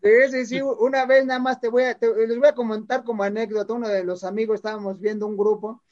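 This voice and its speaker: A man's voice: high-pitched, level tone, quick pace, darkish tone, muffled recording. A man hosting a radio show, rambling and repeating words as he talks. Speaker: radio host. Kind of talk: radio interview chat.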